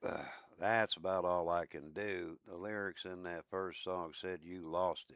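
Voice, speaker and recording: A man with a deep, low voice chats unhurriedly with his viewers while streaming. His talk is relaxed and drawn out, with casual asides to the audience. The sound is dry and close, with no room around it. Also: measured pace, no room sound. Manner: laid-back, chatty asides to viewers. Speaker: game streamer. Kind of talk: casual live-stream chat.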